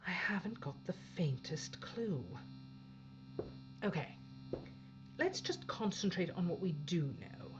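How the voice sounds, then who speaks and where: A woman's voice: on the low side, animated, slow pace, pauses often, highs cut off, background noise. A woman playing a blunt, authoritative female spaceship captain in an audio drama. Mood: wary, determined. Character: tough, commanding female captain of a failing spaceship. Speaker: actor playing a ship's captain.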